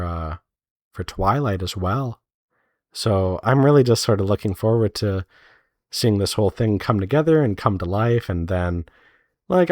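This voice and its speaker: Low-voiced man rambling through a casual update. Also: darkish tone, no room sound, studio quality. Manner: rambling, thinking aloud with trailing hedges. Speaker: indie visual novel developer giving a devlog update. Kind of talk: casual unscripted devlog chat.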